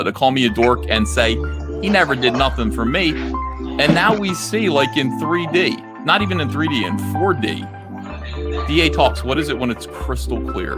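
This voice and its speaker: A man in a heated, unscripted livestream rant as host, confrontational and sarcastic. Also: mid-range voice. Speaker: live stream host. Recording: music playing loudly behind.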